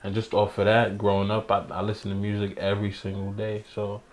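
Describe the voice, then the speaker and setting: A man's voice: on the low side, completely flat delivery, a little fast, a little hiss or hum. A young man born in Ethiopia and raised in New York, talking casually and affirmingly as an interview guest in a small studio. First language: French. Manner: laid-back, affirming, frequent "you know what I'm saying". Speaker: musician guest. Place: small studio room with art on walls, indoors.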